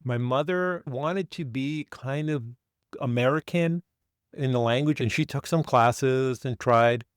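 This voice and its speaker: An older man whose first language was Finnish recalls his family history in a plain, middle-pitched voice. He talks without hurry, often backing up to correct himself. The sound is close and dry, with no room around it. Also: clean tone, dry recording. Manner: unhurried, with halting restarts and self-corrections. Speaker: guest. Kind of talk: reflective storytelling interview.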